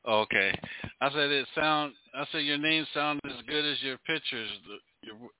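A man's voice: a little high, normal speed, narrow, tinny audio. Easygoing man hosting a radio show, phone-thin sound. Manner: easygoing, chatty, flattering. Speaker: radio DJ and host.

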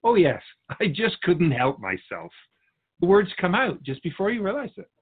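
A man's voice: high voice, wildly swooping pitch, normal speed, slightly rough, phone-quality sound, heavily acted. A man playing an otherworldly spirit guide who is amused by humans and speaks with pedantic sarcasm in a scripted radio conversation. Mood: amused, mocking.

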